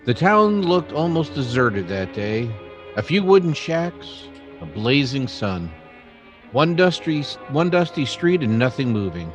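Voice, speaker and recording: A man playing a reflective general-store keeper who narrates his memories in an old-time western radio drama, in halting phrases. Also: mid-range voice, very animated, lo-fi sound, soft background music.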